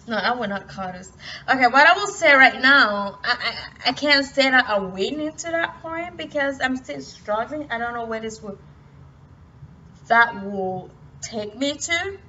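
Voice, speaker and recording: A young woman from Cameroon speaks slowly and haltingly, often restarting her sentences. Her voice sits fairly high. She sounds set back from the mic on a dull recording with a light hiss behind her. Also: bright, forceful tone. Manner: hesitant, halting, many restarts. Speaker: vlogger. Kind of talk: personal motivational talk. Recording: distant, muffled, light noise.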